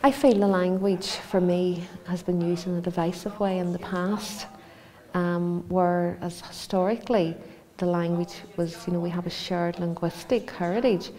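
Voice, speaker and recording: A woman's voice: fairly low pitch, dark tone. A woman with a Belfast accent, speaking earnestly in a documentary interview about her community. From East Belfast, Northern Ireland. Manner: earnest, heartfelt. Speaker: community language organiser.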